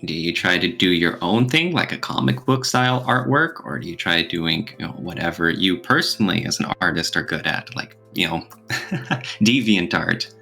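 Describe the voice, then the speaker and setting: A man's voice: low-pitched, animated, moderate pace, vocal fry, sharp tone. A man co-hosting a casual podcast discussion, explaining his points and posing questions as he goes. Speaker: podcast co-host. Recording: music playing behind the voice. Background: background music.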